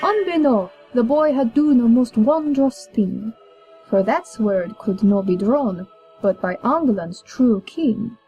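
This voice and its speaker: Slow, mellow young woman storyteller. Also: a little high, animated, lo-fi sound. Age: young adult. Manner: measured, declamatory. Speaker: storyteller, college student. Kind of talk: scripted narration of an archaic verse tale. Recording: music under the voice.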